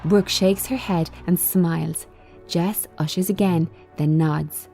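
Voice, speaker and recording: Slow, mellow woman reading a screenplay. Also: on the low side, steady tone, creaky, faint music behind. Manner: measured, short declarative phrases. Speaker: screenplay reader. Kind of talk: scripted narration of screen directions.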